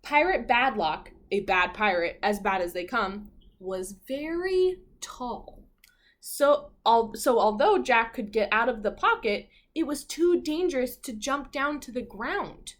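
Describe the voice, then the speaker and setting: A woman teacher reading a picture book to young children, expressively, with chatty asides and questions. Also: on the high side. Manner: expressive, pausing to comment on pictures and prompt children. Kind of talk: storybook read-aloud with asides and questions to young listeners.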